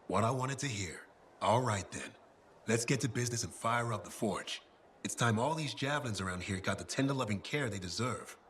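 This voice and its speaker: A man's voice: fairly low pitch, expressive, fairly quick, a bit bright, a little hiss or hum. An actor playing a boastful male merchant who sells custom gear, speaking in colorful salesman patter. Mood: salesy. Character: boastful male weapon decal merchant, showman. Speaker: craftsman merchant. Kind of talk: video game dialogue.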